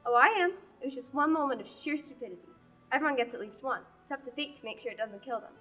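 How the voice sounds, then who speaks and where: A woman's voice: sits high, fast talker, sharp tone, faint music behind. A young woman acting a stubborn, indignant young woman on a quest in a radio play. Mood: wry, reflective. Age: young adult. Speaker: student actor.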